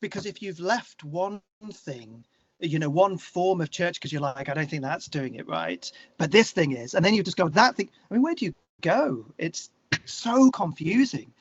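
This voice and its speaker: A man with an English accent talking candidly and reflectively as an interview guest, searching for his words in a halting way. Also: on the high side, expressive, breathy edge, no room sound, lo-fi sound.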